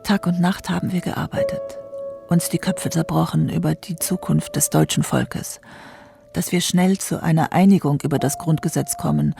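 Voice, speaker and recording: A hopeful, idealistic narrator of post-war Germany. The voice has a strong creak and speaks earnestly over a clear music bed in a radio drama. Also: medium pitch, fairly even intonation, normal speed. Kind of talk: scripted radio drama monologue.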